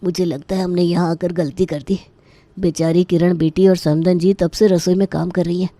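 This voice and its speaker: Woman playing an older story father-in-law, chiding, reproachful, low pitch, dark tone. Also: steady tone, normal speed, no room sound, natural voice.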